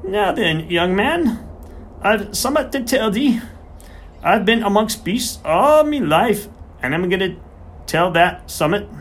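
A man's voice: sits high, sing-song, slow pace, voice pushed up, full character voice. A man voicing a small, elderly, beery retired smallholder, who talks in a conspiratorial, rustic way. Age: older. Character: wily beery little old male horse doctor.

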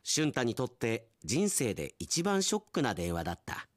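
A man's voice: medium pitch, huge pitch swings, moderate pace, no room sound, studio quality. A man narrating a scripted radio drama as an announcer, in an articulate storytelling delivery.

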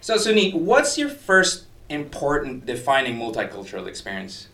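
A man with a neutral American accent carrying Filipino inflections, co-hosting a casual podcast in an animated, chatty way. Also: medium pitch, normal speed, gravelly, far from the mic. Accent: Filipino-inflected American English. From Philippines. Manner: animated, chatty, laughs while teasing. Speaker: podcast co-host. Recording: echoey room, voice a bit off-mic.

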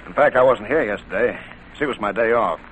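Guy playing polite hesitant foreman, low voice, talks really fast, old noisy radio drama. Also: a bit bright, natural voice. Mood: deferential, hesitant. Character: deferential, hesitant male trucking foreman. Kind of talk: 1940s radio drama acting.

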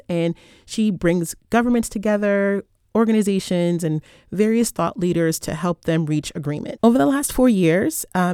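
A man hosting an interview podcast, introducing his guest in an earnest, measured way and laughing often. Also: high-pitched, a little mellow, clear, resonant tone, no room sound, clean studio sound. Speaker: podcast host.

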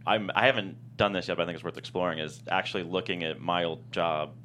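A man giving a casual interview as a guest writer, talking in a halting, reflective way. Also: sits low, steady tone, a bit bright, slight background noise. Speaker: guest screenwriter.

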